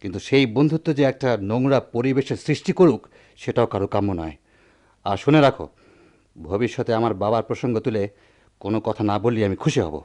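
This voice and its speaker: An actor playing a proud, wounded village doctor and husband in a declamatory radio drama style. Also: male voice, mid-range voice, lively intonation, quick pace, a little mellow, close to an everyday voice. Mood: indignant. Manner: declamatory, impassioned. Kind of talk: melodramatic radio drama acting.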